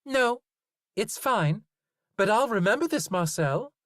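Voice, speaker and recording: A man voicing a sharp-tongued, wry woman in a storybook reading. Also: sits high, animated, slow, dry recording, studio quality, voice pushed up, heavily acted. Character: sharp, sly woman shopper.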